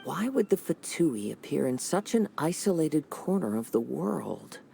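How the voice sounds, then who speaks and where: A man voicing a calm, observant young wanderer in a video game, speaking in a measured, soft-spoken way. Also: on the high side, gravelly, full character voice. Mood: pensive. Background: music.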